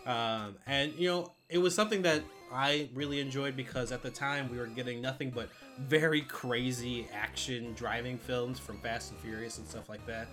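A man with a bright, forward voice co-hosts a casual film countdown. He is chatty and swears freely. His pitch is ordinary, and light music plays underneath. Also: fairly even intonation, moderate pace, hardly any pauses. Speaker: podcast co-host.

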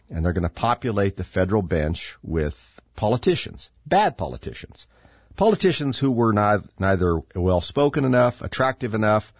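A man's voice: low voice, no room sound, clean studio sound. A middle-aged man hosting his own podcast, a bit congested and stuffy, rambling sardonically with lots of fillers. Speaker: podcast host. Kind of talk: casual political commentary monologue.

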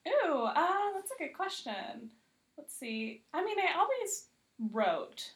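A woman's voice: very high-pitched, sing-song, slow pace. A woman chatting casually as an interview guest, self-deprecating and humorous, peppering her talk with "like". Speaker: podcast guest, TV critic.

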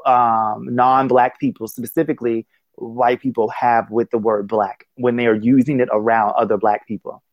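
A man's voice: mid-range voice, flat delivery, moderate pace, no room sound, muffled recording. A man talking thoughtfully in a casual conversation over a call, with many restarts and affirmations. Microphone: phone call.

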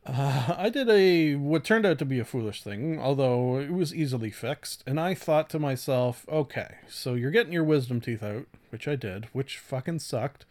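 Male podcast host, thirties, mid-range voice. Loose, halting, self-correcting conversational delivery, casually profane. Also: medium pitch, a little mellow. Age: 30s. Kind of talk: casual solo podcast talk.

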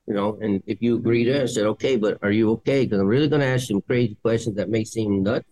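A man's voice: fairly low pitch, fast, dark tone, dry recording, poor audio. A middle-aged man co-hosting a nursing podcast, talking bluntly with lots of swearing in a casual debate. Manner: blunt, profane, straightforward. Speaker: podcast co-host, nurse.

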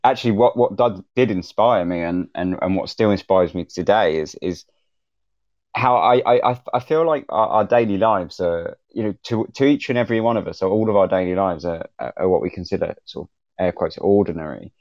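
A man podcaster casually explaining on a panel, halting and often repeating words, in a roomy, dull recording. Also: low-pitched, darkish tone. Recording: roomy, slightly dull lo-fi sound.

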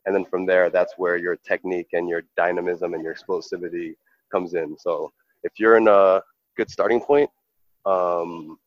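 A man with a very deep voice talks thoughtfully through his answers, pausing on fillers and starting over. The recording is dry with little room sound, and a bit dull in the highs. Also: fairly even intonation, no echo. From New York. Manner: earnest, reflective, with many fillers and restarts. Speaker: professional goalkeeper. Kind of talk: interview answers.

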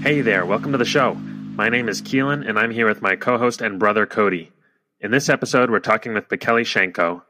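A man's voice: fairly low pitch, pushed and bright. A young man hosting a podcast, reading the show intro in an upbeat, polished way. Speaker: podcast host.

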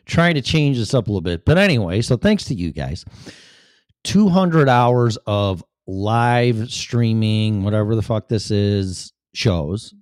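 A man's voice: lowish voice, expressive, unhurried, darkish tone, no room sound, clean studio sound. A man with a Chicago accent hosting his live-stream show in a chatty, profane, self-deprecating ramble. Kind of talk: casual rambling monologue.

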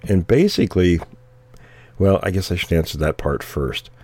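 A man with a very deep, dark voice talks casually on a podcast, hoarse because he is losing his voice. He often stops to clear his throat and wanders through his points. The sound is close and dry. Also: lively intonation, moderate pace, no echo. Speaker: podcast co-host. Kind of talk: casual chat.

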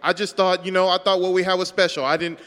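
A man's voice: high-pitched, level tone, quick pace, fairly bright tone. A man improvising a lovesick, clingy, indignant suitor in a comedy parody of a radio play, whining and pleading. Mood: wounded, pleading. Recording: echoey hall sound.

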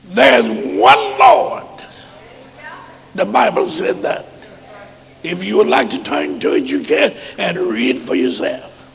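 A hoarse man a sermon in a chanting style that builds into shouts. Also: high-pitched, wildly swooping pitch, slow, pauses often, in a large hall. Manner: chanted preaching rising to shouts. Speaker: preacher.

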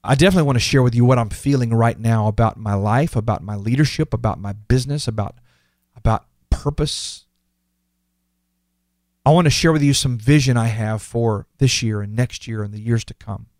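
A man's voice: mid-range voice, moderate pace, a little mellow, dry recording. Earnest, emphatic fifty-year-old man, dry studio sound. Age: 50s. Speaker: podcast host. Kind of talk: reflective motivational podcast monologue.

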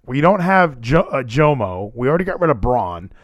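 A man talking casually and animatedly as a podcast co-host, full of quick asides. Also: mid-range voice, very animated, no echo, lo-fi sound. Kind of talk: casual wrestling chat.

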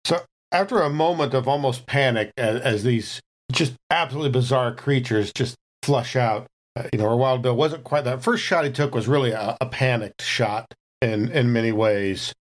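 A man playing a tabletop game, casually describing his character's actions in halting, deliberate phrases. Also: medium pitch.

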